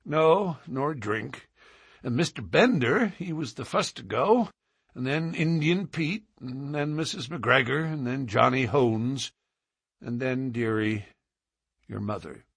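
A man's voice: mid-range voice, lively intonation, slow pace, no room sound, clean studio sound, heavily acted. A man doing a parched old frontiersman. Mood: tender. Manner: rough, drawling, gentle.

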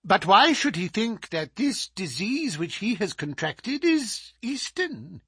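A man voicing a small, frail, sinister older man with a high, petulant, penetrating voice that turns shrill and screaming in anger. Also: big pitch swings, slow pace, sharp tone, husky, dry recording, studio quality, full character voice. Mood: alarmed. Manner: shrill, querulous, screaming when angry.

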